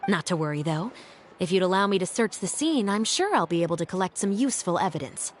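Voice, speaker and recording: A woman's voice: lowish voice, expressive, fast, slight background noise, natural voice. An actress playing a stern young woman officer who speaks in a level, dryly teasing way.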